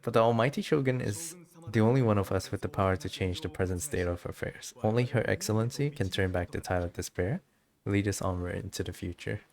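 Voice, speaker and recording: Man reading as a low-voiced loyal samurai soldier. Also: fairly quick, creaky, a little mellow. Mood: resolute. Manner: earnest, formal. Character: loyal, steadfast samurai soldier devoted to his ruler.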